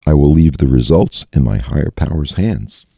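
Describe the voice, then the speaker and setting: A man's voice: very deep voice, lively intonation, moderate pace, creaky, warm tone, breathy edge, highs cut off. A man in recovery earnestly reading a daily meditation passage aloud.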